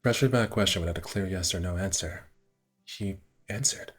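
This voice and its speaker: A man with a low, slightly rough and husky voice reads story narration aloud for a casual game stream. He stumbles now and then and drops into offhand asides. Soft game music plays behind him. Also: a little fast. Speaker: streamer reading a visual novel aloud.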